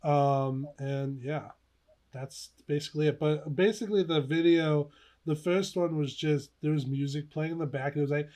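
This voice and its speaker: A young man co-hosting a casual podcast, telling stories in an animated, giggly way. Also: fairly high pitch, moderate pace. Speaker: podcast co-host. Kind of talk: casual podcast chat, reminiscing.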